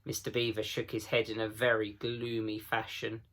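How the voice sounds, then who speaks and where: A man's voice: lowish voice, monotone, moderate pace, fairly bright tone. A man reading aloud as a teacher, introducing and narrating a children's storybook.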